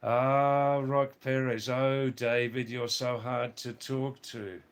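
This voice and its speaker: Slow, hoarse man on a live stream. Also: mid-range voice, little pitch movement. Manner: halting, with many fillers, addresses viewers by name. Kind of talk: live stream chat reading comments. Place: hill village in Nepal.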